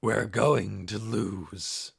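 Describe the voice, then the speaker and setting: Very slow, gravelly inhuman commander. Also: male voice, fairly low pitch, steady tone, a bit bright, dry recording, clean studio sound, soft background music, exaggerated voice. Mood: grim. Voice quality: gravelly, husky. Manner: slow, heavy, deliberate delivery. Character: grave inhuman undead army commander, male, brooding. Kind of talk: audiobook character voice.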